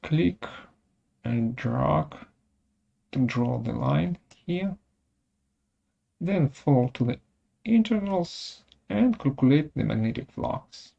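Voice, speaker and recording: A software webinar presenter of unclear sex talks through a demonstration very slowly, in short and halting pieces. The voice is dark and creaky, with a medium pitch. The audio is dull and lo-fi, with occasional mouse clicks. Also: animated. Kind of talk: technical tutorial demonstration, narrated step by step.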